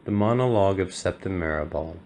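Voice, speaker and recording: Very deep, gravelly male announcer. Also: fairly quick, darkish tone, slight background noise. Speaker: narrator and presenter. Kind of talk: scripted narration, announcing a reading.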